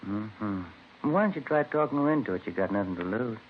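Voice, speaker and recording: An actor playing a friendly, easygoing police detective in a 1950s radio drama, talking in a conversational way. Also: male voice, on the low side, expressive, fast, close to an everyday voice. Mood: chatty. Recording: dull, noisy old radio recording.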